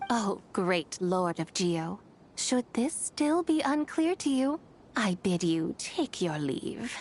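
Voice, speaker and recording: A woman's voice: medium pitch, big pitch swings, takes it slowly, darkish tone. A woman playing a haughty, sly, ancient female horse spirit in a fantasy game, with theatrical, archaic, teasing formal speech. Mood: cold, proud. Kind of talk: fantasy game cutscene acting. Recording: music behind the voice.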